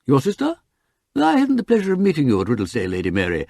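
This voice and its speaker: Male reader playing self-assured English courtroom advocate, affable and effusive, animated delivery with some rasp. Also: mid-range voice, quick pace, no room sound, clean studio sound, pitched above the performer's own voice, heavily acted. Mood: jovial, eager.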